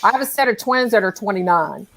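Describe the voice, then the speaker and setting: A woman's voice: medium pitch, lively intonation, quick pace, fairly bright tone, slight background noise, low-quality recording. A woman, a talk show host who chats with a guest and asks long questions. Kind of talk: interviewing a guest.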